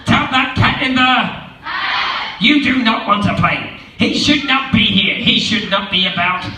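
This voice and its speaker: A man doing a shrill fussy fish. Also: unhurried. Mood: alarmed. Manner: shrill, anxious protesting. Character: fussy worried pet fish, scolding. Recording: roomy, distant, noisy live room.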